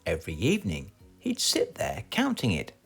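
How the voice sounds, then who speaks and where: A man's voice: medium pitch, wildly swooping pitch, moderate pace, creaky, a bit bright, breathy edge, soft background music. A man with a British accent reading a children's story aloud as a storyteller.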